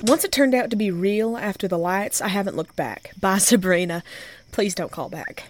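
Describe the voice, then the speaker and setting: A woman's voice: mid-range voice, lively intonation, normal speed, dry recording, close to an everyday voice. A woman playing a jittery, sardonic new nighttime radio host in an audio drama, talking informally and wryly. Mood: annoyed. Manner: wry, sweary, informal.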